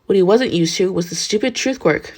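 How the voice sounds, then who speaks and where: A woman's voice: mid-range voice, fairly quick, darkish tone. A woman reading fan fiction aloud as scripted narration. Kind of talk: scripted story narration with acted dialogue.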